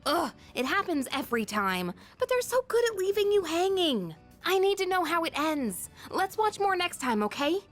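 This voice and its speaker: An actress voicing a friendly, expressive, chatty teenage girl with an American accent in a video game. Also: very high-pitched, moderate pace, few pauses. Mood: excited, invested. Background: game music.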